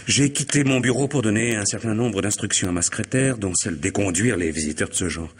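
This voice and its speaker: Low-voiced, bitterly ironic distraught husband. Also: male voice, a little fast, breathy edge. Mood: urgent, grave. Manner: sardonic, theatrically agitated. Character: distraught betrayed husband, bitterly ironic, forcing himself calm. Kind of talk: French radio mystery play acting.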